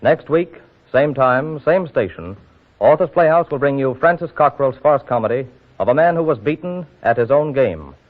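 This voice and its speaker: A male announcer reads scripted credits in formal 1940s radio style. His pitch is medium, and the sound is thin and narrow, as on an old broadcast. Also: expressive, moderate pace, a little hiss or hum.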